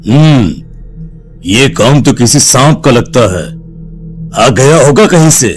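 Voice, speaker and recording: A man's voice: medium pitch, lively intonation, takes it slowly, soft background music. A man playing a worried villager in a cartoon story, in a dramatic, exclamatory manner. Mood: concerned. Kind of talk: Hindi cartoon story acting.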